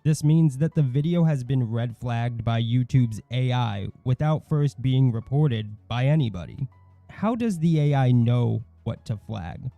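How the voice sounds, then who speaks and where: A man's voice: medium pitch, expressive, measured pace, creaky, dry recording, dull audio, faint music behind. A man hosting an online channel, reading scripted commentary in a snide, mocking manner. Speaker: YouTube channel host.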